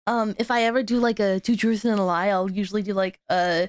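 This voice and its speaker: A woman's voice: medium pitch, level tone, a little fast, clean tone, no room sound. A young woman chatting casually on her stream, rambling and laughing at herself, with a stutter and many restarts. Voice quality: stutters, with frequent repeats and restarts. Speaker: VTuber streamer hosting a guest. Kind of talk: casual chat.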